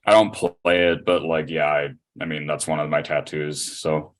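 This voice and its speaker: A man in a casual podcast chat, explaining things in a halting way. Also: extremely low pitch, level tone.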